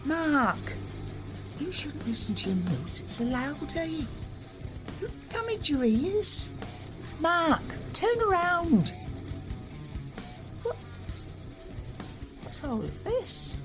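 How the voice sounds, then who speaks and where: A woman's voice: high-pitched, big pitch swings, slow pace, pauses often, music in the background, full character voice. A woman playing an anxious mother confronting her son in an audio drama, with growing fear. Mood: worried, alarmed.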